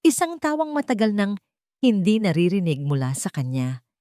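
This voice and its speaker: A woman's voice: mid-range voice, very animated, normal speed, dark tone, dry recording, studio quality. A woman narrating a scripted romance story in a dramatic storytelling style.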